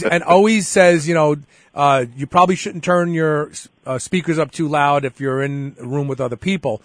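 Talk show host, man, stuffy head-cold voice, fairly high, dry close mic. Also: dry recording. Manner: chatty, brisk, steers the conversation. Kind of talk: casual talk radio hosting.

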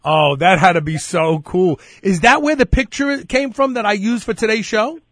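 High-pitched man, informal enthusiastic host. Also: normal speed, fairly bright tone, no room sound, clean studio sound. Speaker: interview host. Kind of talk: casual chat and questioning.

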